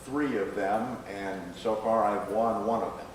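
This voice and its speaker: A man speaking as a screenwriter in a live question-and-answer session, with a halting, hesitant delivery. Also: fairly low pitch, steady tone. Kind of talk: live Q&A after a staged reading. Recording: echoey room, distant mic, faint noise.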